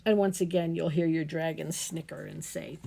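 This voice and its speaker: A woman reading a children's picture book aloud as a storytime reader, expressive and playful, adding chatty asides and questions for the listeners. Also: deep voice, normal speed, gravelly, noisy background.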